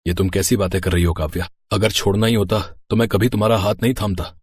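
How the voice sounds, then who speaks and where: An earnest young husband voiced by a man in a cartoon family drama. He speaks low and a little husky, in a dry recording. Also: fairly even intonation, fast talker, a little mellow, no room sound. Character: earnest young husband, devoted dutiful son.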